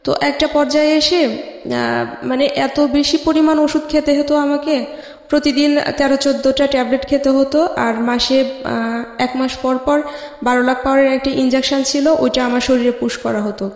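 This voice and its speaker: Very high voice, hesitant life-story telling, frequent pauses, long hall reverb. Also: fairly even intonation. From Bangladesh. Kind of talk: personal life-story narration.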